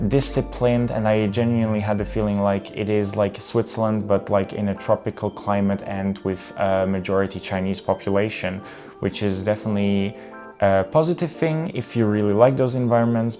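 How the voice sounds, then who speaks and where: A man's voice: fairly low pitch, mellow. A young man from Serbia, talking candidly and a bit ramblingly about his travels in a casual vlog. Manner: candid, rambling with restarts and fillers. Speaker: travel vlogger. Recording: faint guitar music behind.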